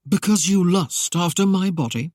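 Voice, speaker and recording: A man's voice: high-pitched, moderate pace, darkish tone, no room sound, clean studio sound, lightly acted. A man voicing a teasing, roguish young man in an audiobook, mock-innocent and playful. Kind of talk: audiobook character voice.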